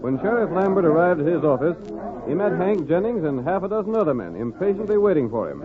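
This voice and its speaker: A man's voice: high-pitched, sing-song, fast talker, crowd noise. A man narrating an old-time radio western drama as the show's announcer. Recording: tinny old radio recording with music.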